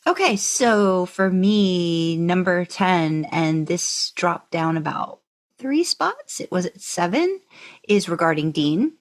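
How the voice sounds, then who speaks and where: A woman co-hosting a podcast, chatting casually as a fan and explaining her views in a measured, agreeable way. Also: fairly low pitch, animated. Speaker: podcast co-host. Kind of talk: casual fan chat about a TV series.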